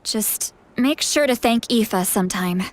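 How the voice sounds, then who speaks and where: A woman playing a stern, huffy grandmother with a soft spot for her grandson in a dramatic video game. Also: a little high, unhurried, slight background noise, natural voice. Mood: gruffly softened.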